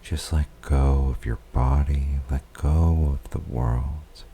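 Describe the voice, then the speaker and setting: Very deep, slow, soothing male hypnotist. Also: slight background noise. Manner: soft, soothing, drawn-out with whispered phrases. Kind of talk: slow guided hypnosis countdown.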